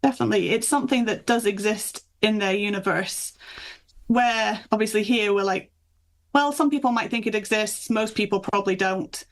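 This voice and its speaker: Creaky-voiced woman chatting as a guest author. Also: medium pitch, normal speed. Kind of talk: conversational interview chat about folklore.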